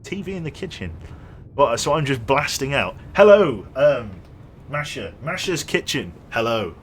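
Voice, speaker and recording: A man's voice: mid-range voice, sing-song, unhurried, a little hiss or hum. A young man streaming a game, rambling casually and chatting with his viewers. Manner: rambling, chatty, reads chat aloud and jokes. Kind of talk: casual live-stream chat while gaming.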